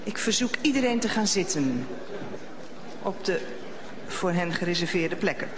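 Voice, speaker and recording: A man's voice: sits high, lively intonation, moderate pace. A man playing a formal, procedural parliamentary chair in a satirical radio play, with noise behind. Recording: noisy recording.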